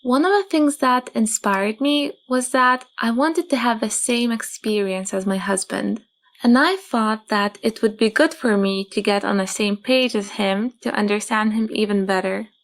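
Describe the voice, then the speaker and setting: A young woman, calmly telling her own story in a soft, measured way. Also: fairly high pitch. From Japan. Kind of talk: calm personal testimony.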